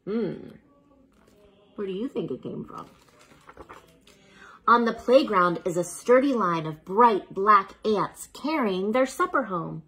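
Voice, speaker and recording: A woman reading a children's bedtime story aloud in an unhurried, expressive way, as if speaking to a child, with a slightly husky voice. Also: medium pitch, rough recording.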